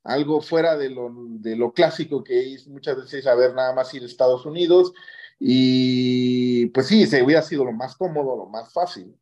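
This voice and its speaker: A man's voice: mid-range voice, normal speed, darkish tone. Mexican man telling his story, muffled audio. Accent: Mexican Spanish. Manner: often ends phrases with a questioning tag. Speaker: interview guest, orthopedic surgeon. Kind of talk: conversational personal storytelling. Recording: dull lo-fi audio.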